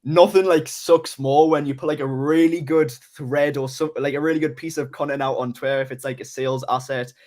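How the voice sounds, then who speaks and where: A man's voice: fairly high pitch, fairly even intonation, fairly quick, few pauses, darkish tone. Chatty man hosting a casual interview. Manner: enthusiastic, heavy use of "like". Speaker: host, agency owner interviewing client.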